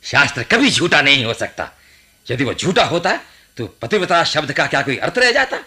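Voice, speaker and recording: Husky, indignant old priest. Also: male voice, fairly high pitch, sing-song, fairly quick, bright, forceful tone, heavily acted. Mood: admonishing. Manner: scolding, emphatic, reverent about tradition. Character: pious, indignant old male priest scolding a younger sceptic. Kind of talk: Hindi radio play acting.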